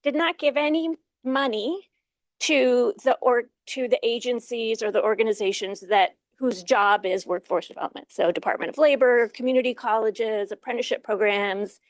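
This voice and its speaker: Measured woman researcher, dry sound. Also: on the high side, dry recording. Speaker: think tank researcher, panelist. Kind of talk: conference panel remarks. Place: conference forum.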